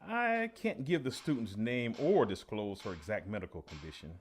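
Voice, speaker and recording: An actor playing an earnest veteran high school teacher giving a measured, official interview in a radio play. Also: male voice, sing-song, a little hiss or hum. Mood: official, unruffled.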